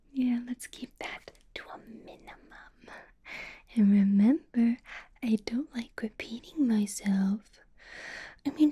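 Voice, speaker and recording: A woman playing a possessive, menacing female vampire boss in an ASMR roleplay, speaking in a slow breathy whisper with soft teasing laughs. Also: fairly high pitch, full character voice.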